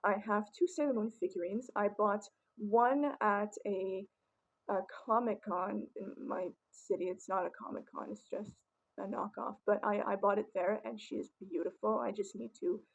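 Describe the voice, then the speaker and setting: Young woman vlogger, raspy, chatty and rambling, average pitch. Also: fairly even intonation, normal speed, muffled recording. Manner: chatty, rambling, many ums and restarts. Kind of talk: casual collection show-and-tell.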